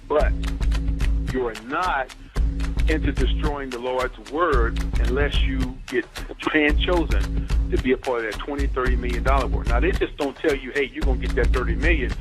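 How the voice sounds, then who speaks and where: A man's voice: mid-range voice, moderate pace. A man giving an informal spoken exposé, emphatic and insistent, repeating his key phrases. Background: music.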